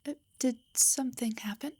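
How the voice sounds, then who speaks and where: A woman playing a loving, protective elf wife in an intimate roleplay audio, speaking tenderly and reassuringly in a breathy whisper. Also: a little high, moderate pace, warm tone, no echo. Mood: gentle, apologetic.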